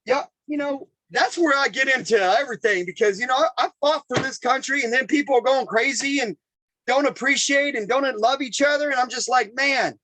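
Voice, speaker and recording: Podcast guest: man, high voice, animated storyteller who repeats himself. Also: brisk, no room sound, poor audio. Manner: animated, talks in bursts with repeated phrases. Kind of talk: casual storytelling interview.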